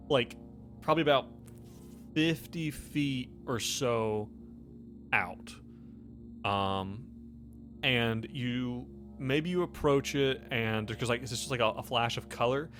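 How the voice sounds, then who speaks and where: An American man narrating a tabletop game as game master, improvising in a halting, conversational way. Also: medium pitch, a bit bright. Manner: halting, conversational, peppered with "like" and "you know". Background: faint music.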